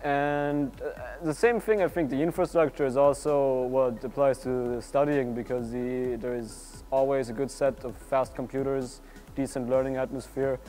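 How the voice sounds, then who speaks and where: A young man with an Austrian German accent, speaking in a measured, articulate way in a documentary interview indoors. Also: mid-range voice, steady tone, dark tone, soft background music. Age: young adult. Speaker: exchange student. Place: indoors by a large window.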